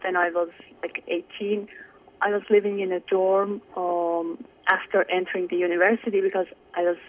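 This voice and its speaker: A woman's voice: on the low side, little pitch movement, moderate pace. A young woman from Iran calling in to a radio show, talking hesitantly with many fillers as she searches for words. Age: young adult. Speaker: caller. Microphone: telephone. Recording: tinny phone line.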